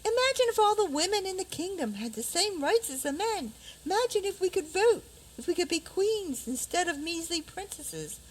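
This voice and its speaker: A woman's voice: very high-pitched, big pitch swings, normal speed, few pauses, a little hiss or hum, poor audio. A woman acting a dreamy yet bold fairy-tale princess in a community radio play, with a theatrical delivery. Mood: dreamy, excited.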